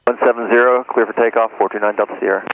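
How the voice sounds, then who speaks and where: A man's voice: medium pitch, steady tone, quick pace. A man speaking as a pilot, giving clipped procedural readbacks over an aviation radio. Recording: tinny, noisy aviation radio transmission.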